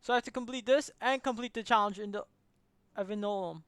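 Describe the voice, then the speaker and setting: A young adult woman giving casual, excitable livestream commentary as she plays a game, reacting freely and swearing. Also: mid-range voice, fast, smooth, dry recording. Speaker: game streamer.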